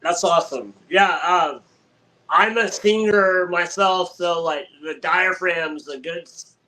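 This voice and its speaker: Young male podcast host, friendly and hesitant, slightly high and bright. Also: takes it slowly, low-quality recording. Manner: friendly, hesitant with many fillers. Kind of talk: casual podcast interview.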